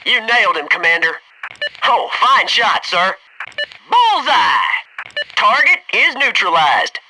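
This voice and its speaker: An actor playing a cocky, keen young military squadmate in a video game, giving short clipped combat callouts. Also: male voice, high voice, very animated, slow pace, noisy background, exaggerated voice. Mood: cocky, elated.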